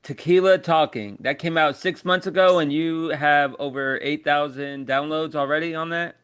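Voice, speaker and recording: A man's voice: fairly high pitch, steady tone, normal speed, fairly bright tone, clean tone, no room sound. A man hosting an interview, asking questions in a casual, conversational style. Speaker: podcast host interviewer.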